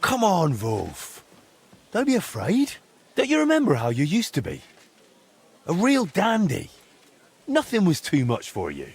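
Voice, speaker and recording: An actor playing a determined young blacksmith hero in a video game, coaxing and firm, with a husky voice. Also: male voice, fairly high pitch, wildly swooping pitch, a little slow, long pauses, darkish tone, full character voice. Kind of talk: video game drama acting.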